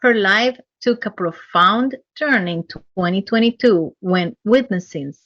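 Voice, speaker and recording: Woman podcast host, unhurried and earnest, dry close sound, a bit lo-fi. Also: mid-range voice, animated, a bit bright, dry recording. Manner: measured, earnest, hesitant with ums. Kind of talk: interview, reading guest bio.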